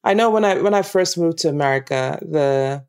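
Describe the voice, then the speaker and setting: Smooth-voiced Nigerian woman guest. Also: low-pitched, lively intonation, normal speed. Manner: warm, reflective, laughs easily, many ums. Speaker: actress guest. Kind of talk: casual interview chat.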